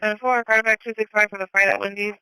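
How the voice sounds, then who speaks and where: A woman's voice: medium pitch, fairly even intonation, fast, bright, forceful tone, smooth, dry recording, faint music behind, rough recording. A woman working as an emergency dispatcher, giving incident details over two-way radio in a clipped, matter-of-fact way. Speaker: police and EMS radio dispatcher. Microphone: dispatch radio microphone. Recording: close dry police scanner radio audio.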